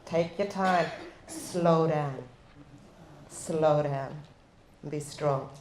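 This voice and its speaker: A woman giving a slow, earnest, deliberate talk at a conference session, stressing her points by repeating them. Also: sits low, darkish tone, slight background noise. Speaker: First Nations speaker and former government advisor.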